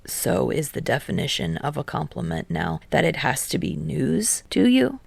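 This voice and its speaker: A woman voicing a dry, guarded, sarcastic teenage boy in an audiobook-style story reading, deadpan, understated and muttering. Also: low voice, normal speed, creaky, breathy edge, no room sound, pitched below the performer's own voice, full character voice. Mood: dry teasing. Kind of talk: audiobook character voice in fan fiction reading.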